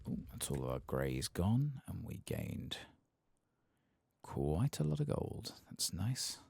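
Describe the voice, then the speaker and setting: A man giving soft-spoken ASMR game commentary, murmuring and often slipping into whispers close to the microphone. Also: low-pitched, big pitch swings, takes it slowly, vocal fry, slightly rough.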